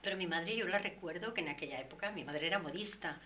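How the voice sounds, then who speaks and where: A woman singer, guest in an interview, explaining her art at length in a relaxed, reflective way. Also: fairly low pitch, quick pace, slight background noise. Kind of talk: relaxed conversation.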